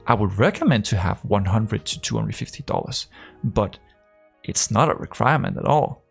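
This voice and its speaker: Gravelly Danish-accented man rambling. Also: lowish voice, very animated, moderate pace, faint music behind. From Denmark. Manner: rambling, full of fillers and restarts, acts out conversations. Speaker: trading coach. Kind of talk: casual talk to camera.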